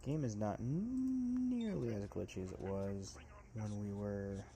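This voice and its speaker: A man with a fairly low, warm voice talks through a video game in loose, casual commentary. He's a little sniffly from allergies and keeps stopping to fix his wording mid-sentence. There's a faint hum of room noise behind him. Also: lively intonation, slow pace. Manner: rambling, self-correcting, lots of fillers. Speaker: let's play host.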